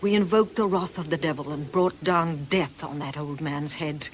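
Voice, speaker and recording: A woman's voice: deep voice, moderate pace, a little hiss or hum, lightly acted, poor audio. A woman playing an ailing, middle-aged fortune teller in a radio drama, scornful and theatrical. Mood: vengeful. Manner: world-weary, scornful, declamatory.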